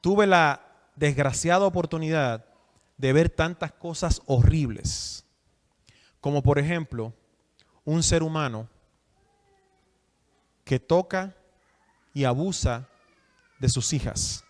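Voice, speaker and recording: Man in his forties, preacher, high voice, emphatic, reverberant hall. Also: slow, pauses often. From Dominican Republic. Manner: asks the audience questions, emphatic. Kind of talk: sermon teaching.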